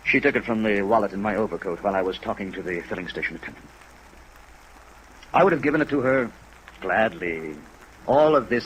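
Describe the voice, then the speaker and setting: A wounded older American gentleman in a 1950s radio play, his voice fairly low. He speaks in a formal, measured, earnest way, with hurt dignity. Faint rain noise lies behind him. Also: rough recording. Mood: bitter, anguished. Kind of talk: 1950s radio drama acting. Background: steady rain sounds.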